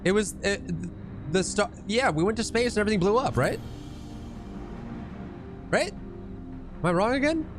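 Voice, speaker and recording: Animated higher-voiced man commentating a game. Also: unhurried, pauses often, music in the background. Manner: jokey, self-interrupting, exclaiming. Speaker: gaming streamer. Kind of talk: casual playthrough commentary mixed with reading game dialogue aloud.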